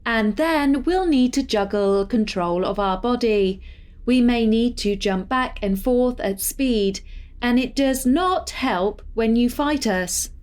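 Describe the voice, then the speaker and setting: A woman playing a level-headed artificial intelligence who leads with measured reasoning in an audio drama. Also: on the high side, a little mellow. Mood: matter-of-fact.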